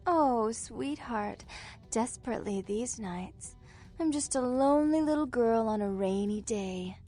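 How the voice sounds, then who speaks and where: Swooping, flirtatious coquette. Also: female voice, on the high side, animated, a little slow, mellow, faint music behind. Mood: flirtatious, teasing. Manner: teasing, cooing. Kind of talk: video game dialogue.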